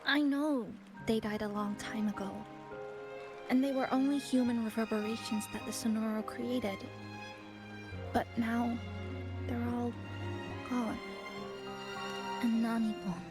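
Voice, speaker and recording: A woman voicing a reserved, earnest young woman in a video game, speaking softly and hesitantly in measured phrases. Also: a little high, fairly even intonation, over music, lightly acted. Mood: quiet grief. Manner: soft, hesitant, measured, with pauses. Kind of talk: video game story dialogue.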